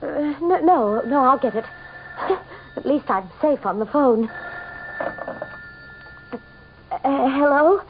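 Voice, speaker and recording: High-voiced, frightened society wife, tinny radio. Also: female voice, measured pace, over music. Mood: nervous. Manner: breathy gasps, stammering, melodramatic. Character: frightened, guilt-ridden society wife, shaken and haughty. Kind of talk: old-time radio mystery acting, narrating and in dialogue. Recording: tinny, noisy old radio drama recording.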